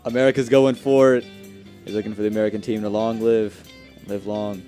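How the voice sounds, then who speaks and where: A man's voice: fairly low pitch, level tone, fast talker, dark tone, soft background music. A man giving casual analysis as a live cricket co-commentator, conversational and easygoing.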